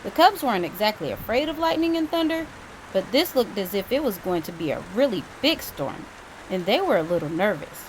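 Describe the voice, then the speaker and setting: A woman reading a children's story aloud with expressive, dramatic emphasis. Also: mid-range voice, fairly quick, slight background noise, low-quality recording. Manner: animated, dramatic emphasis for young listeners.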